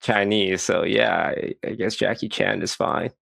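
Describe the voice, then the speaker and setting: A man's voice: deep voice, a little slow, gravelly, a bit bright, no room sound. A man in his thirties from Malaysia, co-hosting a casual podcast chat and telling stories with a wry edge. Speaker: podcast co-host.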